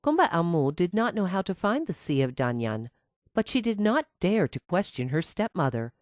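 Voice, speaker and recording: Female storyteller for children's fairy tales: low register, very animated intonation, dry close sound. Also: moderate pace, dry recording. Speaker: storyteller, narrator. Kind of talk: scripted children's fairy tale narration.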